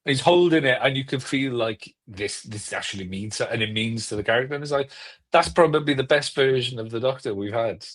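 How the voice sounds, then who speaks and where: Guy on a fan podcast, average pitch, excited and emphatic, keeps repeating himself. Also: a little fast. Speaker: podcast panelist. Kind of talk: casual fan chat.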